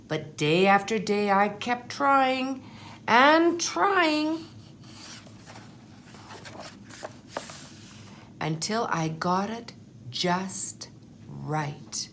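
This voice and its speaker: A woman voicing a humble, anxious but determined egg telling his life story in a storybook, in an earnest, measured way. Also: mid-range voice, expressive, fairly bright tone, a little hiss or hum, full character voice. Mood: hopeful. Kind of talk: storybook first-person narration.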